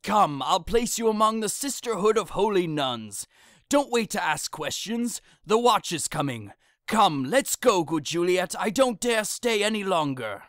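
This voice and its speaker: A woman's voice: medium pitch, very animated, measured pace, no room sound, studio quality. A woman playing an anxious, kindly old friar in an audio drama, fretful and exclamatory. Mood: urgent, frightened. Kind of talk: audio drama acting of modernized Shakespeare.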